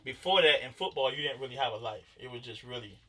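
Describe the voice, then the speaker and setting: A man hosts a casual interview, asking questions and cheering his guest on. His voice has a bright, forward edge. He sounds somewhat distant from the microphone. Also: medium pitch, expressive, fast talker. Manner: encouraging, affirming interviewer. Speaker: podcast host.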